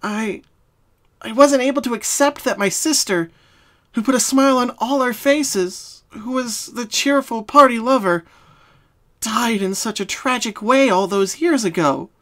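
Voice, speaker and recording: A woman voicing a sorrowful young female cartoon pony in a fan story, speaking softly and solemnly with hesitations. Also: on the high side, pitched above the performer's own voice. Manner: soft, solemn, halting. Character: sad young female pony confessing her hidden identity.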